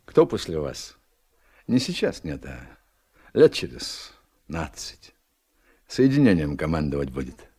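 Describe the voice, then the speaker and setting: A man's voice: very deep voice, sing-song, unhurried, long pauses, darkish tone, husky, full character voice. An actor playing a confident, forthright naval officer addressing his superior in a radio play. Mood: bold, probing.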